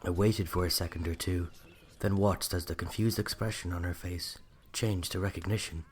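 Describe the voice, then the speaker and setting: A man's voice: low voice, fairly even intonation, normal speed, a little hiss or hum. A man with an Irish accent narrating a scripted first-person story.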